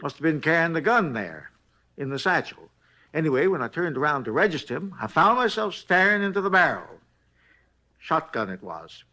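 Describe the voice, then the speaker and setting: Talkative backwoods night clerk, bright, lively, folksy grammar, 1950s radio play. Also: male voice, fairly high pitch, moderate pace, heavily acted. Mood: chatty. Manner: rambling, chatty, rustic grammar. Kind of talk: 1950s radio drama acting.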